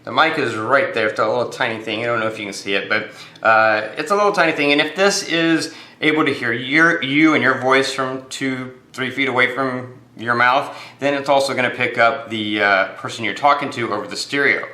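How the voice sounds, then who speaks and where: An American man with a bright, forward voice at a medium pitch talks through a casual product review. He explains in an unscripted way, pausing and repeating words as he goes. The room adds a noticeable echo.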